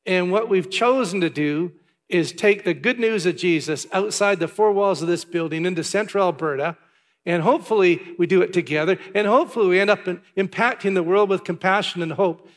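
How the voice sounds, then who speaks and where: A pastor from Canada giving church announcements and a sermon in a chatty way, with asides and filler words. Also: male voice, high voice, fairly quick. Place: large church hall. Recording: long hall reverb.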